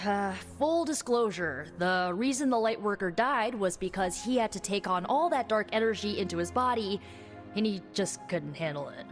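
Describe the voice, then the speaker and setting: An actress playing a quick-witted, sardonic young sorceress in a video game, talking in a casual, halting, anxious way. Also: female voice, medium pitch, lively intonation, long reverb tail, soft background music, close to an everyday voice. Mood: hesitant, confessing. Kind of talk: video game cutscene dialogue.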